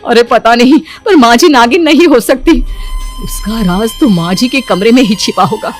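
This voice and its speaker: Woman as a lively, high-voiced village wife. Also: normal speed, faint music behind, close to an everyday voice. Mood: puzzled. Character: scolding, expressive village daughter-in-law. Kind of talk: dramatised moral story.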